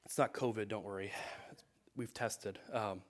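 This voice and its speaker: A man's voice: on the low side, steady tone, measured pace. A man in his thirties, a pastor, reading scripture and preaching in a conversational way with many fillers, his voice congested and a little nasal. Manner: conversational with frequent fillers and self-corrections.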